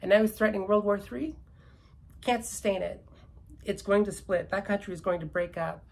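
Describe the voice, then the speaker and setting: A woman's voice: mid-range voice, fairly even intonation, moderate pace, creaky, darkish tone. A Canadian woman with an accent she credits to British loyalist ancestry, talking politics in an emphatic, repetitive, ranting way. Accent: British-descended Canadian. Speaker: commentator. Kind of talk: casual political chat.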